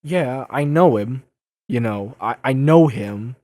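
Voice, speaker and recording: An actor playing a young masked superhero in an audio drama, sarcastic, flustered and easily exasperated. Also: male voice, mid-range voice, animated, slow, a little mellow, no echo. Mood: defensive, sarcastic. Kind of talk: audio drama dub acting.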